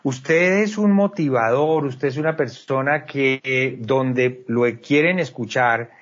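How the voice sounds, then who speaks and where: A man hosting an interview, asking questions with hesitant pauses and fillers. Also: mid-range voice, a bit bright, dull audio. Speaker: host.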